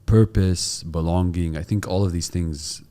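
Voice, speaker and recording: A man's voice: sits low, steady tone, a little slow, warm tone. A man from the United States and the UAE hosting a podcast, interviewing a guest in a casual conversational way. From born in the United States, raised in the UAE from 1992.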